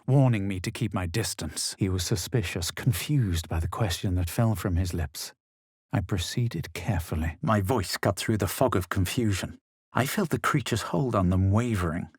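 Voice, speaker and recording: An actor playing a smug, self-assured male adventurer in a fantasy video game, measured and dryly dramatic. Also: low-pitched, husky, no room sound, clean studio sound, heavily acted. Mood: grave, wary. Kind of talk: video game character lines and first-person narration.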